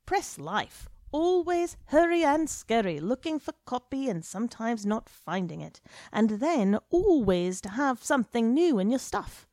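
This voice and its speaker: A woman voicing a loud, worldly male journalist who brags jovially, in a dry recording. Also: a little high, sing-song, a little slow, few pauses, no echo, pitched above the performer's own voice. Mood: boastful. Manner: brash, swaggering, jovial. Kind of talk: audiobook character reading.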